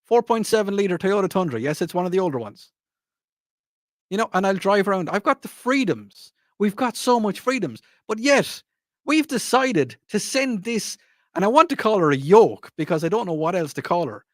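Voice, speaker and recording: Lively Irish man hosting talk radio. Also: sits high, normal speed, no echo, clean studio sound. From Ireland. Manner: rambling, repetitive, mocking, laughs often. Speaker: radio show host. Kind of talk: casual talk radio monologue.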